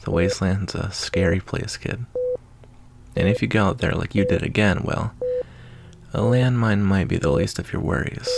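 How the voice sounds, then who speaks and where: A man acts out a kindly wasteland doctor for an ASMR roleplay, in a low voice with a gravelly fry. He is soft-spoken, folksy and reassuring, and his tone moves around with easy expression. Loud noise runs behind him. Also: exaggerated voice.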